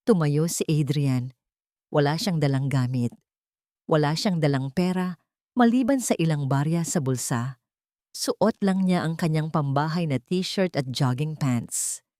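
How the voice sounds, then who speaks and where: A woman reading a romantic drama story as narrator, in a dramatic, expressive scripted style. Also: sits low, measured pace, no room sound, studio quality.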